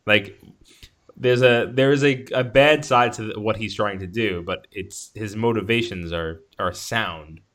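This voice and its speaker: A man with a slightly low voice talks casually as a podcast co-host. He discusses films in a halting way, doubling back to rephrase his thoughts as he goes. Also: fairly bright tone. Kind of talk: casual film discussion chat.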